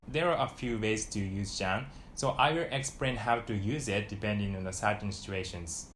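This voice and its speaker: A young man from Japan with a Japanese accent, giving a calm, scripted lesson to camera. He says his Japanese example phrases more loudly and quickly, and he is recorded on a lapel mic in a small room. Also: on the low side, noisy background. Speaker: language teacher.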